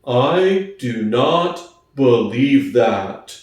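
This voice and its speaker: A man voicing an earnest, slow-witted, loyal big workhorse in a storybook reading, with a laboured, stolid delivery. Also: medium pitch, animated, voice pushed down. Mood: puzzled, stubborn. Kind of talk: dramatic audiobook reading. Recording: echoey room, distant from mic.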